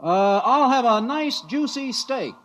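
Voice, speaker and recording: A man's voice: very high-pitched, animated, takes it slowly, a bit bright, heavily acted. An actor playing a hearty, eager spaceship crewman in a 1950s radio drama. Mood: hungry, hearty. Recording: muffled, noisy old radio recording.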